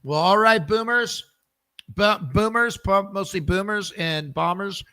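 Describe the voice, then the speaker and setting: Bright, dry-voiced podcast host. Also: male voice, high-pitched, a little slow, clear, resonant tone, no echo. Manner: jokey, loose, a bit rambling. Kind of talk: casual podcast sign-off chat.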